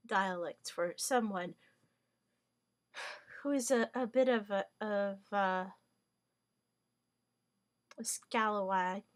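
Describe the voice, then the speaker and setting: A woman whose first language is Hungarian, giving a wry, rambling monologue full of fillers, restarts and mock-formal wording. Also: medium pitch. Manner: hesitant, many fillers and restarts.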